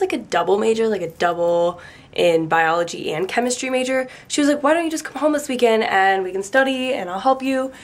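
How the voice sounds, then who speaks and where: A young woman in her twenties, hoarse and raspy from a cold, chatting casually and laughing often while vlogging into a handheld camera. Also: medium pitch. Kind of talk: casual vlog chat. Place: bedroom, car and backyard outdoors. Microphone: handheld camera at arm's length.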